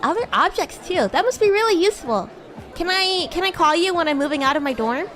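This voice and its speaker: A woman livestreaming in character as a bratty, playful young demon classmate, chatting in a giggly way with drawn-out, hesitant words. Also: very high-pitched, lively intonation, fairly bright tone, some chatter behind, a little hiss or hum. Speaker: VTuber streamer.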